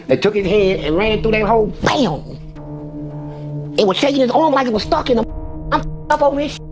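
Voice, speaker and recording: A man casually retelling a prison story in a profane, streetwise, animated way. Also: high voice, brisk, music in the background. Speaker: former inmate storyteller.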